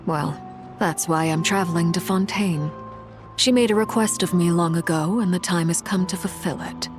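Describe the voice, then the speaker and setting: An actress playing a composed, formal noblewoman in a video game, speaking in a measured, restrained and solemn way. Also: lowish voice, natural voice. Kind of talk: dramatic video game dialogue. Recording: prominent music behind the voice.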